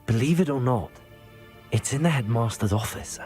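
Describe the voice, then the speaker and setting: A man's voice: mid-range voice, expressive, normal speed, a little mellow, soft background music, close to an everyday voice. A man playing an earnest, resolute young student wizard in a video game, polite in his way of talking. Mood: earnest, inquisitive. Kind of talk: video game cutscene acting.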